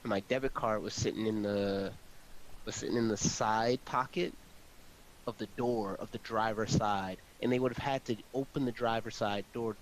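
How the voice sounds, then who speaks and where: A man's voice: on the low side, fairly even intonation, moderate pace. A man chatting casually in a rambling, repetitive, slangy way. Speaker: amateur audio drama producer. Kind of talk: casual chat. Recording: hissy, noisy home microphone.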